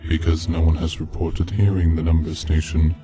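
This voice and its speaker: A man reading a scripted narration in a measured, deliberate manner. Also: rumbling low, level tone, warm tone. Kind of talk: scripted narration of a video game mystery. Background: background music.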